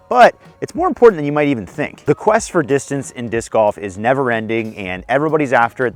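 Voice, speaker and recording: A young man with a slightly hoarse voice hosts a casual disc golf tips vlog outdoors on a course, friendly and self-deprecating as he explains. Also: mid-range voice. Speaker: disc golf video host.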